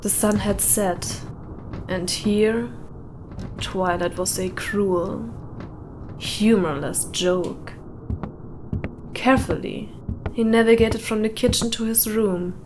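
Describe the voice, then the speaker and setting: A woman narrating a short story in a deliberate way with dramatic pauses. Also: mid-range voice. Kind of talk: scripted narration, atmospheric storytelling. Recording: windy howling ambience behind voice. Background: added wind or howling ambience.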